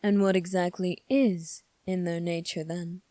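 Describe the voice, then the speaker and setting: A woman voicing a composed, coolly defiant young fantasy prince in an ASMR roleplay, speaking formally and deliberately with long pauses. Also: fairly low pitch, steady tone, warm tone, no room sound, clean studio sound, natural voice. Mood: calm, probing.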